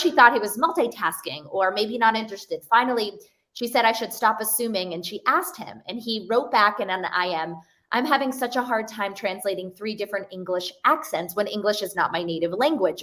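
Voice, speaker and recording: A woman's voice: mid-range voice, a bit bright. A woman in her forties speaking on a panel over a video call, laying out points in a structured, anecdotal way. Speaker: panelist, collaboration expert. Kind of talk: panel discussion.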